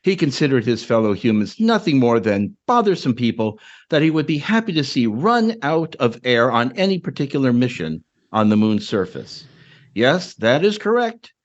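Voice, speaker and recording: A man's voice: mid-range voice, dull audio. A man giving a dramatic reading of his own short story as a podcast co-host.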